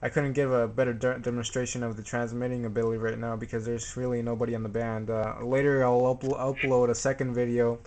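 A man's voice: medium pitch, little pitch movement, fast talker, hardly any pauses, a little mellow. A man narrating an informal hands-on tutorial in a plain-spoken, slightly hesitant, self-deprecating way. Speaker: DIY tutorial presenter. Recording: noisy amateur camera audio.

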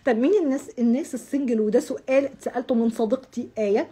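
Hoarse, fast-talking Egyptian woman. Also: a little high, fairly even intonation, warm tone. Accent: Egyptian Arabic. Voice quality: husky. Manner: chatty, repeats words, addresses the viewer directly, gestures with hands. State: hoarse from shouting. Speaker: astrology channel host. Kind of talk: casual monthly horoscope reading. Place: home room with curtain backdrop.

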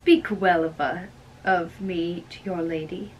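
A woman's voice: lowish voice, fairly even intonation, unhurried, a little hiss or hum, voice pushed down, lightly acted, low-quality recording. A woman acting an earnest, lovesick young man in an amateur dramatic reading of a classic play. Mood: sincere. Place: small bedroom.

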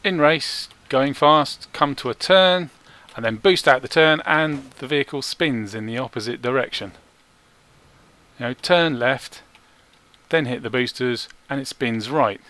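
A man giving measured, explanatory video game commentary in a self-deprecating way, recorded as a close-mic voice-over. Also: mid-range voice, bright, forceful tone. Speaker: gaming video creator.

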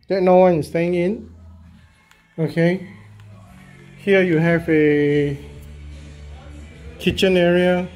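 A man, a real estate agent walking through a property and talking casually, halting and repeating himself with many fillers as he goes. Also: on the high side, darkish tone. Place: walking through a house and yard, in and out of doors.

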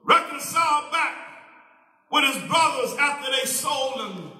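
A man's voice: extremely high pitch, expressive, a little slow, pushed and bright. A man preaching a sermon from a church pulpit into a handheld mic, swinging into a sing-song whoop. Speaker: pastor. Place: large church sanctuary, at a pulpit. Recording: echoey sanctuary with long reverb.

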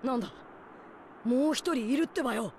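A woman's voice: high voice, a little fast, a little mellow, a little hiss or hum. A woman voicing a brash, hot-headed teenage boy ninja in an anime game, blunt and boyish. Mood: brash, surprised. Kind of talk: Japanese anime game voice acting. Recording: background music bed.

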